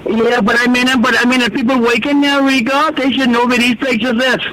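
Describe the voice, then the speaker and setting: Very high-pitched aggrieved Bahamian man calling in. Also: fairly even intonation, fairly quick, a crowd in the background, rough recording. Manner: aggrieved, emphatic, repetitive. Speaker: caller to a radio phone-in. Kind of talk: informal spoken complaint.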